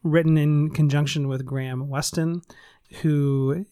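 A man with a smooth, resonant voice hosts a podcast interview. He tells stories and asks questions in a friendly, chatty way. The sound is close and dry. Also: a little high, fairly even intonation, unhurried, warm tone, no echo. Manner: friendly storytelling with fillers. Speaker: podcast host. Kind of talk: casual interview. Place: studio in San Antonio.

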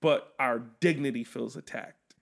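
A man co-hosting a podcast, talking in a casual, animated and profane way as he tells a story. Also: medium pitch, measured pace, creaky. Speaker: podcast co-host. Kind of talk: casual chat.

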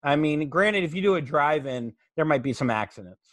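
A man's voice: fairly high pitch, lively intonation, brisk, no echo. A man chatting casually as a comedy podcast host, loose, rambling and jokey.

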